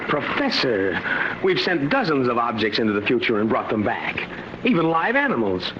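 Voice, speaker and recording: Eager young-hero assistant, muffled old radio. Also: male voice, medium pitch, huge pitch swings, moderate pace. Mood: eager, determined. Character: earnest, loyal male assistant to a professor. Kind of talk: 1950s radio drama acting. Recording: dull, noisy old radio recording.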